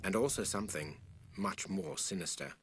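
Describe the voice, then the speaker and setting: A man playing a grave, cryptic teller of dark secrets in a video game cutscene, ominous and dramatic, often hushed. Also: sits low, normal speed, background noise, close to an everyday voice. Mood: ominous, secretive. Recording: loud music over the voice.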